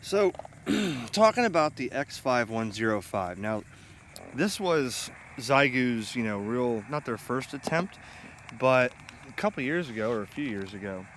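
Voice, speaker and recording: A man's voice: medium pitch, sing-song, a little slow. A middle-aged American man talking casually and chattily, as an amateur radio vlogger demonstrating gear. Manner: casual, chatty, spells call signs phonetically. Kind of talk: casual demonstration to camera with radio contact calls. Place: outdoors in a grassy yard by a pond. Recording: loud outdoor ambient noise.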